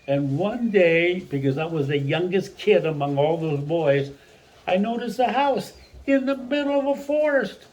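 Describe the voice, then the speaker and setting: A man's voice: high-pitched, measured pace, lo-fi sound. Older Mexican-born man telling stories. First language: Spanish. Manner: rambling, animated storyteller who restarts sentences. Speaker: retired Spanish teacher. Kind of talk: family storytelling.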